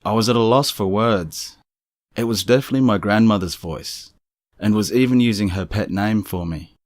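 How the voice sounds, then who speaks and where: A man reading scripted first-person stories as a narrator, in a measured, serious manner. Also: on the low side, no echo, studio quality. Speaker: narrator of strange true stories.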